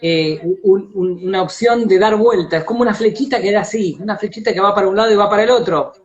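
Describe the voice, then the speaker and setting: An Argentine man hosting a casual live-stream interview, speaking haltingly and circling back over his phrases. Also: high voice. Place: live video call on social media. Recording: dull, lo-fi internet call audio.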